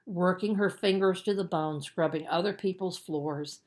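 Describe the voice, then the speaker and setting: A woman narrating a children's book as its author, in an expressive storytelling manner with deliberate emphasis. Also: on the low side. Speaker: author reading own book.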